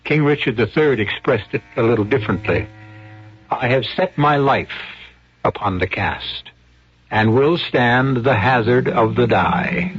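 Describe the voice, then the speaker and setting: A man playing an erudite, ominous host of a radio mystery, narrating theatrically with portentous, deliberate pauses. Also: mid-range voice, breathy edge. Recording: dull radio recording with faint music.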